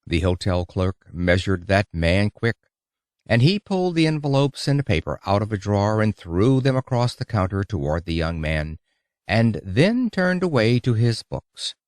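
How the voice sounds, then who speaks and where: A man's voice: fairly low pitch, very animated, normal speed, no room sound, clean studio sound. A man narrating an audiobook of an old-fashioned lecture in an emphatic, theatrical storytelling manner.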